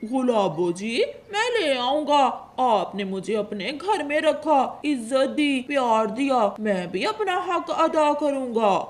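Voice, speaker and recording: A woman's voice: high-pitched, big pitch swings, slow, hardly any pauses, heavily acted. A woman voicing a meek, easily hurt father crow in a Hindi cartoon story, with a plaintive delivery. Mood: earnest, polite.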